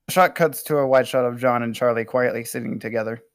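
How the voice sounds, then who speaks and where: A man narrating stage directions at an amateur table read, reading haltingly with small stumbles. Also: mid-range voice, fairly even intonation, no room sound, studio quality.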